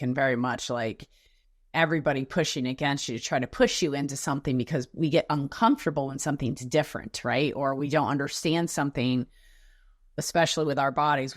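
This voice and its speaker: Low-voiced gushing woman host. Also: dry recording, clean studio sound. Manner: effusive, gushing praise with restarts. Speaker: podcast host. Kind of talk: warm interview chat.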